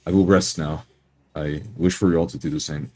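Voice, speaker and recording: A man playing a stern, solemn leader in a tabletop roleplay, speaking in a measured, formal way. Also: rumbling low, fairly even intonation, darkish tone, husky, no echo. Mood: weary, calm. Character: stern, solemn male leader laying down rules.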